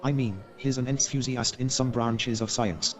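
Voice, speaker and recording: An actor playing a courteous, gossipy Victorian gentleman in a staged radio play, formal and measured in his hesitant explanations. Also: mid-range voice, fairly even intonation, faint music behind, close to an everyday voice. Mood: courteous, hedging.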